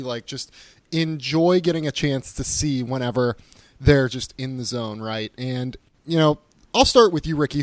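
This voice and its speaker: Croaky, hoarse male podcast host. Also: medium pitch, no room sound. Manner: casual, leans on "like" constantly. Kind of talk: casual sports podcast chat.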